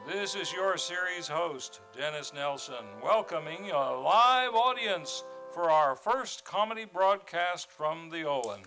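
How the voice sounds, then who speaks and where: A man's voice: fairly high pitch, lively intonation, unhurried, hardly any pauses, over music, low-quality recording. A man hosting in a theatrical, grandly formal old-time radio announcer style. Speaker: series host and announcer. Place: theater stage, before a live audience.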